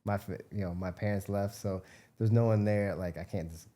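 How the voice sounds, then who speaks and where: Low, mellow-voiced American man, informal and rambling. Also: fairly even intonation, normal speed. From United States, Midwest. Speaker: interview guest, biomedical researcher and entrepreneur. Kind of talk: casual conversational interview answers.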